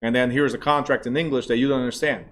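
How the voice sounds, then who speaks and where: A man's voice: mid-range voice, fairly even intonation, quick pace. A middle-aged man, the director of a real estate school, lecturing a live class in a casual, chatty way and checking in with "right?". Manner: casual, chatty, asks the class questions and says "right?" a lot.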